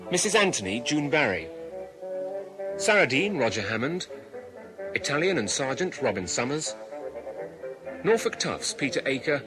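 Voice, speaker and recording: A man's voice: a little high, rough recording. A man with a British accent reading scripted radio drama credits as an announcer. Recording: music under the voice.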